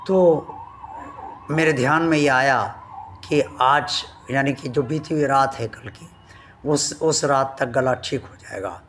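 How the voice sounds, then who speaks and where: A man speaks to his followers in a calm, formal and devout way, taking his time over each phrase. His voice is still a little weak and hoarse from a sore throat. Faint noise sits behind him. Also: mid-range voice. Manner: slow, earnest and devout, addressing viewers formally. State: recovering from a sore throat, voice not at full strength. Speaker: devotional channel host. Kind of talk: devotional talk to viewers.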